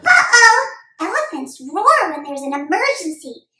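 A performer voicing an excitable, very high-pitched young boy. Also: a little slow, reverberant, heavy background noise, full character voice. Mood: excited, alarmed. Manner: exclamatory, breathless eagerness. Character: excitable young boy, curious animal enthusiast. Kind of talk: acted children's story dialogue.

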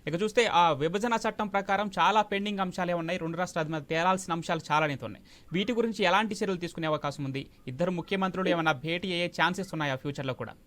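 A man's voice: high voice, racing, a bit bright. A man working as a news anchor, reading reports and putting questions to a correspondent.

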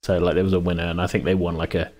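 A man's voice: low voice, level tone, rapid-fire, darkish tone. A British man hosting a casual chat about a tabletop game, rambling and jokey as he talks. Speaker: podcast host and game master.

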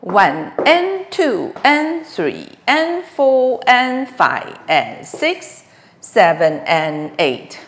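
A woman's voice: fairly high pitch, sing-song, extremely slow. A middle-aged woman instructing a line dance step by step, calling out moves and counts in short, clipped phrases. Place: small bare room with wooden floor.

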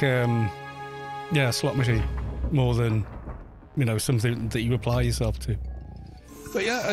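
A man in his fifties from Wales with a Welsh accent touched by northern English, chatting loosely and laughing easily as he streams a game. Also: medium pitch. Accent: Welsh with some northern English. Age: 50s. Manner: chatty, rambling, laughs easily, reads chat aloud. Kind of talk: live stream commentary while playing.

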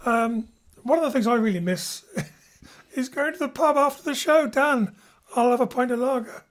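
A man's voice: very high-pitched, moderate pace. Male theatre director as host, informal, chatty address to cast and listeners. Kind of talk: informal after-show chat.

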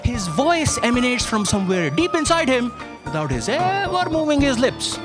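A man's voice: very high-pitched, sing-song, moderate pace, over loud music. A middle-aged man playing a fussy, matter-of-fact office assistant in a staged audio drama. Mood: explanatory. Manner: theatrical, explanatory. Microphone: stand microphone on a theatre stage.

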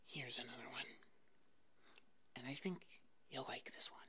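A woman doing an ASMR roleplay as an easygoing puppet hairdresser, speaking in a soft, breathy whisper with short, reassuring phrases. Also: very deep voice, moderate pace. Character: fuzzy monster puppet hairdresser, attentive and easygoing.

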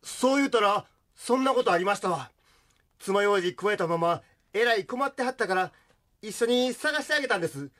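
A man's voice: high-pitched, lively intonation, brisk, a bit bright. A man playing an earnest, gallant young suitor with a Kansai accent in a radio drama. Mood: earnest, grateful.

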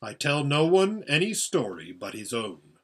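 A man voicing an unseen great lion in a storybook, solemn and measured, with a large deep voice. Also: sing-song, fairly bright tone, breathy edge, full character voice. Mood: grave, gentle.

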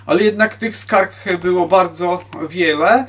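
Higher-pitched man lecturing, recorded from afar. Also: a little slow, fairly bright tone. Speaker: historian giving a lecture. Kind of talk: informal history lecture to camera. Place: book-lined room at home, indoors. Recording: distant microphone in a room.

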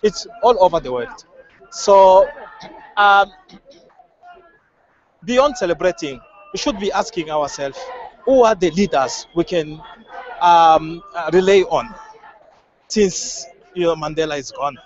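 A man from the DR Congo with a Congolese accent, giving earnest, halting answers in an outdoor street interview. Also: a little high, lively intonation, a little hiss or hum. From Democratic Republic of the Congo. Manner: earnest, hesitant, halting phrasing. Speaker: member of the public being interviewed. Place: outdoors at a crowded street gathering. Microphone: handheld interviewer's microphone. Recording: muffled, band-limited outdoor field audio.

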